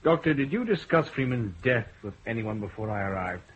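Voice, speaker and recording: A man's voice: medium pitch, fairly quick, natural voice. A man playing a dogged police chief inspector. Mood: probing. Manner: calm, probing questions, clipped. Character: dogged male police chief inspector, polite but persistent. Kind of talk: 1960s radio drama acting. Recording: muffled old radio broadcast, faint hiss.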